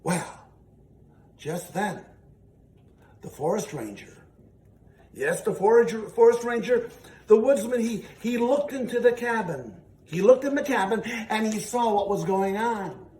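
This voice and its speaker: High-voiced man telling a children's story. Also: animated, darkish tone. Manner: halting, repeats phrases for emphasis. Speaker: storyteller and show host. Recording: roomy, distant, faintly noisy.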